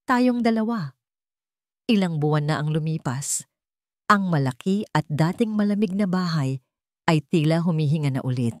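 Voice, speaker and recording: A woman's voice: low voice, normal speed, dry recording, clean studio sound. A woman with a Filipino accent reading a scripted dramatic story as narrator.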